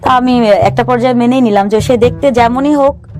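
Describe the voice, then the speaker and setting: A woman's voice: fairly high pitch, a little fast, darkish tone, faint music behind. A woman in her thirties from Bangladesh, earnestly telling her own life story to a show host and often repeating what her family said. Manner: earnest, often voicing family members' words. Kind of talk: personal storytelling in an interview.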